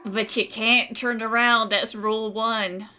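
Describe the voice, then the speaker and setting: Female game streamer: playful sardonic commentary, unhurried yet lively, bright tone. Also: on the high side. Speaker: gaming streamer. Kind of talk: casual, joking playthrough commentary.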